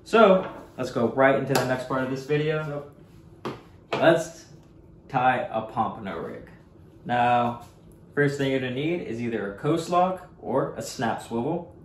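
A man's voice: medium pitch, animated, measured pace, pauses often. Chatty young man in an echoey room. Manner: easygoing, chatty, addresses viewers as guys. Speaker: surf fishing guide and channel host. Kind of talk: casual unboxing vlog talk. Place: small home office, indoors. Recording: echoey room with faint hiss.

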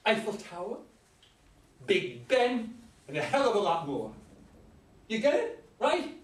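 A man's voice: high voice, wildly swooping pitch, unhurried, exaggerated voice. An older man reading the part of a talkative, folksy old ex-convict hitchhiker in a staged script reading. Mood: proud, chatty. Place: meeting room with long table, indoors. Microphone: far camera. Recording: roomy, distant mic, some ambient noise.

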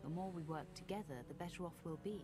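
A woman's voice: on the low side, fast, close to an everyday voice. Cool, resolute warrior woman, played by an actress. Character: self-assured female dark-side warrior commander. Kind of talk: video game voice acting. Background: music.

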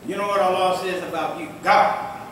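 A middle-aged man, an imam, giving a Friday sermon at a mosque in a conversational, joking way that builds to emphatic shouts. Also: high voice, fairly even intonation, moderate pace, a little hiss or hum. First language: English. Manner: conversational preaching, jokes and laughs, rises to emphatic shouts. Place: mosque room with tiled walls. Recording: very echoey hall, taken from a distance.

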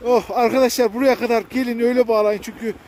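A man's voice: very high voice, level tone, fast talker, a little mellow, a little hiss or hum. A man chatting casually to viewers and companions as a jokey, exclamatory vlogger out in the open while on the move. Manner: active, talking while snowboarding. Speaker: vlogger presenting a ski slope. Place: outdoors on a steep ski slope.

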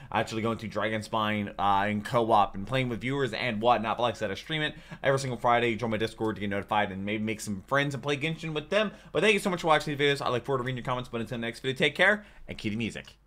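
A man's voice: mid-range voice, a bit bright. A man, giving chatty, informal reaction commentary. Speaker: reaction video host. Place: small home room, indoors. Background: faint music.

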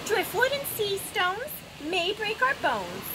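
Lady doing a brave little storybook jellyfish guy, high and excitable, loud waves behind. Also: takes it slowly, background noise, full character voice. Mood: defiant. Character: earnest, brave male jellyfish, loyal friend. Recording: loud ocean surf, outdoors.

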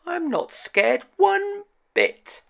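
A man's voice: very high voice, huge pitch swings, very slow, creaky, fairly bright tone, pitched above the performer's own voice, heavily acted. A man voicing a small, trusting, anxious toy rabbit from a storybook in a plaintive, childlike manner. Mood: nervously brave.

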